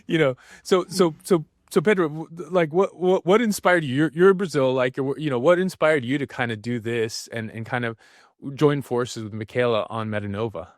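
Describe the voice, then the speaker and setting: A man's voice: mid-range voice, normal speed, no room sound, studio quality. A man hosting a podcast interview, talking in an enthusiastic, rambling way with frequent restarts. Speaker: podcast host. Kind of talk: casual interview chat.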